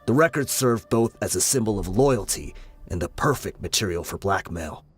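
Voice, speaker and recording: A man playing a weary, level-headed desert brigand in a video game, patient and regretful, telling things in a measured way. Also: fairly low pitch, expressive, lightly acted. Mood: grave, explaining. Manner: measured, explanatory storytelling. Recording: music behind the voice. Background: game music.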